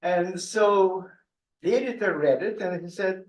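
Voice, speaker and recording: An elderly man with a Russian accent tells stories from his past, his voice sitting somewhat high for a man. He stops and restarts often, with chuckles between phrases. The sound carries a roomy hall echo. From Odessa, Ukraine. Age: 80s. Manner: halting, with frequent restarts and chuckles. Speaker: author giving a book talk. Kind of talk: conversational anecdotal storytelling.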